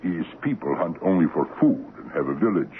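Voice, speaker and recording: An actor playing a courteous, patient, quietly ominous African trading-post assistant in a radio drama, speaking measured, broken English. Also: male voice, sits low, sing-song. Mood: polite, deferential. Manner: measured, deferential, foreign-sounding broken English. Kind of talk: 1970s radio drama acting. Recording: muffled, noisy 1970s AM radio recording.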